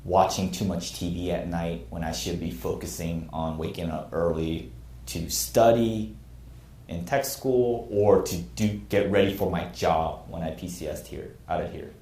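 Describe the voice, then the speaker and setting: Lower-voiced guy instructor giving a lecture, frank and earnest, sounds echoey. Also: steady tone, measured pace, vocal fry, a little mellow. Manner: earnest, candid about own past mistakes. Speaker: military instructor. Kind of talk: classroom lecture. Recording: echoey room.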